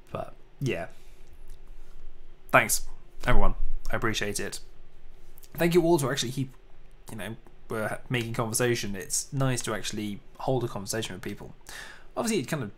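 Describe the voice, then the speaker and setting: A man's voice: medium pitch, animated, normal speed. A man chatting casually while livestreaming his 3D modeling work, self-deprecating and prone to little exclamations.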